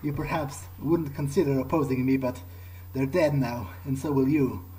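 A man's voice: mid-range voice, steady tone, normal speed, dark tone. A man doing in-character game commentary, wryly thinking aloud as he plays. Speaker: gamer playing in character. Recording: clearly noisy, game ambience behind.

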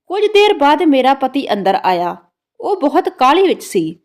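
High-pitched, lively woman narrating a story. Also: moderate pace. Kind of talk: scripted first-person story narration.

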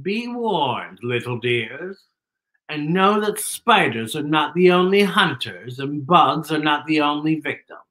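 A man's voice: on the high side, lively intonation, fairly bright tone, reverberant, rough recording. Man putting on a sly, theatrical storybook spider. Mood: menacing. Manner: grandiose, arch, mock-polite, drawn-out. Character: sly, boastful, theatrical predatory spider trickster. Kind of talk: storybook character reading.

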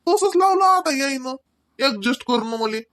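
Comic cartoon villager, male actor: high voice, sarcastic complainer, rustic Vidarbha accent. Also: lively intonation, moderate pace, heavy background noise. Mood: grumbling. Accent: rural Marathi of Vidarbha. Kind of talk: comedy cartoon dialogue.

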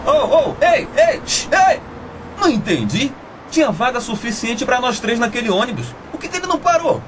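An actor playing an excitable, indignant sidekick in a Brazilian radio drama, exclaiming and protesting. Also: male voice, very high voice, normal speed. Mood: puzzled, indignant. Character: excitable, indignant male sidekick and loyal friend. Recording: noisy background ambience.

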